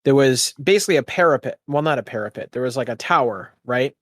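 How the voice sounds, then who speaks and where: Man, game master, middle pitch, very dry recording, conversational, improvised explanatory narration. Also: lively intonation, fast, fairly bright tone, dry recording.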